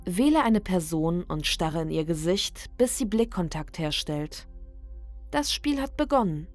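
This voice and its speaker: A woman reading a scripted creepy ritual guide as a horror narrator, in a measured, deliberate, instructional manner. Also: medium pitch, darkish tone. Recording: dry studio voice over background music. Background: background music.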